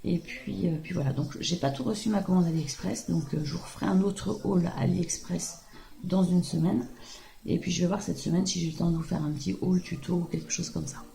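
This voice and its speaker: A woman with a hoarse voice from a cold, chatting casually and rambling with many fillers as she shows off her craft purchases at home. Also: on the low side, fairly even intonation, brisk, warm tone, low-quality recording. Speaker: craft video host. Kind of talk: casual show-and-tell haul.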